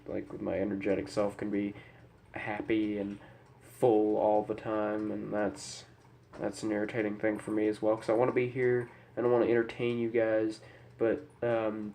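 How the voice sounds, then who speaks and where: A man's voice: lowish voice, a little mellow. A young man with a head cold talking unscripted to camera, congested, somber and hesitant, as a YouTuber apologetically addressing his viewers. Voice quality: stuffy, congested. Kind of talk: unscripted personal talk to camera. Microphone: camera microphone.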